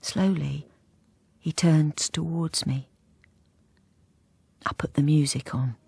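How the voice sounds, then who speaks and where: A woman's voice: low-pitched, steady tone, takes it slowly, vocal fry, full character voice. An actress playing a wistful, lonely wife narrating her life in an intimate, reflective radio drama monologue. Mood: wistful, hushed. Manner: intimate, reflective, softly hesitant. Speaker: lead character and narrator.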